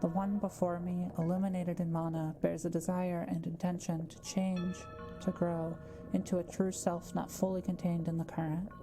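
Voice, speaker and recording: A woman playing a kind, gentle witch in an intimate roleplay audio drama, speaking softly and carefully to the listener. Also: on the low side, level tone, normal speed, music in the background, close to an everyday voice. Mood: solemn incantation. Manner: soft-spoken, reassuring, careful explaining.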